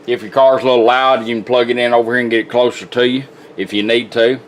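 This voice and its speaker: A man's voice: mid-range voice, level tone, fast, slight background noise. A plainspoken, folksy man giving a casual product demonstration as a radio restorer. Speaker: radio restorer presenting his work.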